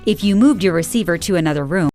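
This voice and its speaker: A woman's voice: fairly low pitch, lively intonation, fast talker, music in the background. A woman narrating a scripted troubleshooting tutorial. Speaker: instructional video narrator.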